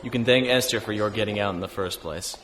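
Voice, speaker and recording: A man's voice: fairly low pitch, fast talker, fairly bright tone, slight background noise, close to an everyday voice. A man playing an easygoing fugitive starship captain in a science fiction audio drama, with a casual, understated delivery. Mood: wry, gentle. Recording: music bed behind the voice.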